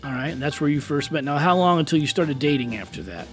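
Friendly podcast host man, higher voice. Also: lively intonation, quick pace, loud music behind the voice. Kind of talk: interviewing guests.

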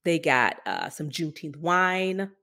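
A woman's voice: sits low, big pitch swings, a little slow, creaky, pushed and bright. A woman co-hosting a podcast, talking casually and sardonically with emphatic rhetorical questions. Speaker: podcast co-host. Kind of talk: casual opinion talk.

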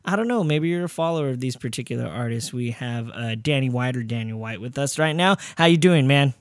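A man hosting a podcast interview, chatting casually in a slangy, excitable, informal bantering way. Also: medium pitch, brisk, no echo, clean studio sound. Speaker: podcast host.

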